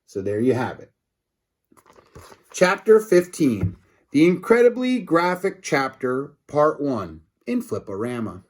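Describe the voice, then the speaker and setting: A man reading a story aloud to children in an expressive, dramatic way, adding casual asides. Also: mid-range voice, slow pace. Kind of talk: animated read-aloud narration with asides.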